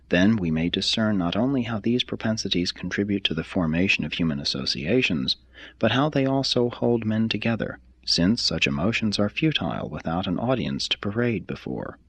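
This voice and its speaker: A man reading a written text aloud like an audiobook, in a measured, formal, deliberate manner. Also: lowish voice, lively intonation, slightly rough, dry recording. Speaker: reader of a text. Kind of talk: scripted reading, audiobook-like narration.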